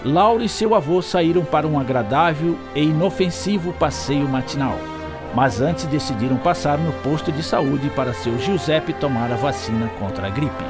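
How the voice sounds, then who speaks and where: A man's voice: a little high, lively intonation, moderate pace. A man with a Brazilian accent narrating a scripted story for a radio drama. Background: loud music.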